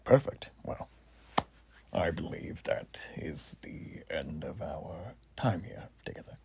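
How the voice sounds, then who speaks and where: A man playing a zealous, courteous revolutionary war soldier in an ASMR roleplay exam, speaking softly and breathily with formal, old-fashioned phrasing. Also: rumbling low, lively intonation, slow, exaggerated voice. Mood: polite, probing. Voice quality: soft, breathy, often near whisper. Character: zealous, folksy revolutionary war soldier examining a new recruit.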